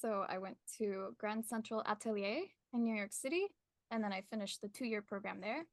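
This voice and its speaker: A young woman from Colombia with an American accent, a painter chatting as a podcast guest, friendly and quick to laugh. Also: mid-range voice, dry recording. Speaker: artist guest. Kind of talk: casual interview chat. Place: her art studio.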